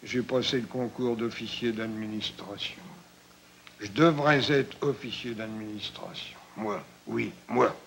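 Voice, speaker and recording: A man's voice: on the low side, fairly even intonation, unhurried, gravelly, a bit bright, slight background noise, pitched below the performer's own voice. Male reader as wounded soldier; dull, placid, murmured.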